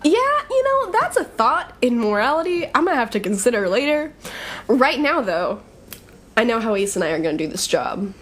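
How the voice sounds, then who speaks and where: A woman's voice: on the high side, sing-song, normal speed. A young woman playing a brash, sarcastic college-age thief in an audio drama, speaking in breezy, slangy banter. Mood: cocky. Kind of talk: audio drama dialogue, casual and conversational.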